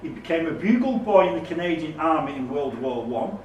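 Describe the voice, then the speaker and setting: A man's voice: fairly high pitch, animated, fairly quick. A man acting as quizmaster, reading questions aloud and ruling on the answers. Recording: roomy, distant, noisy recording.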